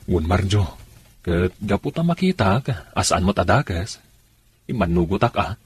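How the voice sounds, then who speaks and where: A man with a very husky, rough voice playing an easygoing, colloquial driver in a radio drama. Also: deep voice, sing-song, normal speed, heavily acted. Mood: sheepish. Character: easygoing male driver helping friends with a scheme.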